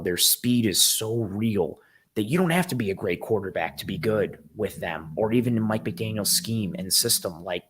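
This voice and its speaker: Hoarse, raspy-voiced man hosting a football show. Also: lowish voice. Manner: rambling, eager, with frequent ums and restarts. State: hoarse, voice worn from a game the night before. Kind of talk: casual live-stream sports analysis, reading chat comments.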